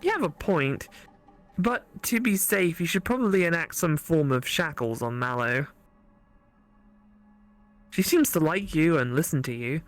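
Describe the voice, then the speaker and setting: A woman's voice: sits low, animated, a little slow, pauses often, faint music behind. A woman voicing a stern, cautious know-it-all colleague in a visual novel, lecturing and insistent.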